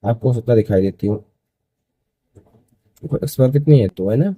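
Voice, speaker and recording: Dark, muffled-toned young male maths teacher. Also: medium pitch, normal speed, breathy edge, no echo. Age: early 20s. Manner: repeats phrases, asks and answers own questions. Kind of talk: step-by-step school maths lesson.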